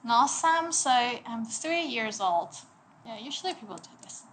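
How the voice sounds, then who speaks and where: A woman's voice: a little high, lively intonation, a little slow. Young woman teacher, casual and jokey, light room noise. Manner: playful, jokey, swings between loud and quiet. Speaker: language teacher. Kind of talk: casual language lesson.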